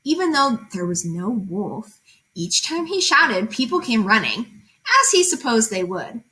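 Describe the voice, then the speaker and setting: A woman's voice: fairly high pitch, big pitch swings, a little slow, sharp tone, rough recording. A woman reading fables aloud and explaining them as a teacher, in an informal, conversational way with guiding questions.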